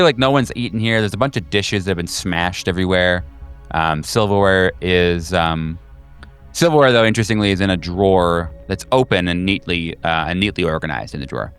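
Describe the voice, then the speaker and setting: Low-voiced man narrating a tabletop game. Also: a bit bright, clear, resonant tone. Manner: halting, descriptive, thinking aloud. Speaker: game master. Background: background music.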